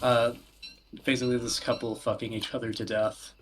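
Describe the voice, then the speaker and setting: Male co-host, average pitch, casual but measured and hesitant, slight background noise. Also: flat delivery, rough recording. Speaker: podcast co-host. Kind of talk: casual chat.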